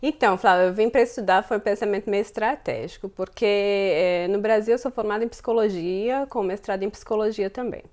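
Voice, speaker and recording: A woman's voice: mid-range voice, a little fast, hardly any pauses, a little mellow. A Brazilian woman in her thirties, a guest answering interview questions thoughtfully, often repeating words as she searches for them. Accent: Brazilian Portuguese. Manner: thoughtful, frequent fillers and self-repeats.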